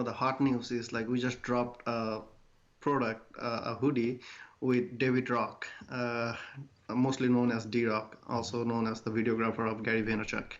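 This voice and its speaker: A man's voice: mid-range voice, flat delivery, normal speed. A man speaks as an interview guest, earnest and hesitant with many fillers. He is feeling under the weather. His voice comes over a dull, lo-fi remote line. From Bangladesh. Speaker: guest, clothing brand founder. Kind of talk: casual interview answers.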